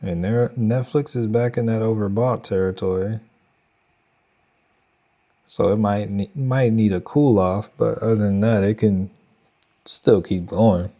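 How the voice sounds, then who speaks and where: A man's voice: lowish voice, fairly even intonation, measured pace, pauses often, warm tone. A young man with a Texan accent, casually thinking aloud and chatting with viewers on a trading livestream. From Texas. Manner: thinks aloud, loose slangy talk to chat. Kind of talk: casual live market commentary.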